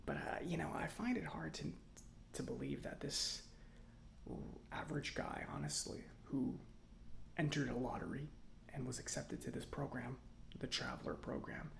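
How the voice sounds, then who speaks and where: A man playing a chatty, self-satisfied robot mechanic in a soft-spoken ASMR roleplay, breathy and often near a whisper, musing slowly with pauses. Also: mid-range voice, a little hiss or hum. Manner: unhurried, hesitant musing with pauses.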